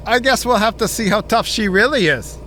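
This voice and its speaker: A man's voice: high voice, expressive, a little fast, sharp tone, a little hiss or hum. A man playing a cruel, taunting gangster henchman interrogator in a noir audio drama, talking in tough-guy slang. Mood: gloating.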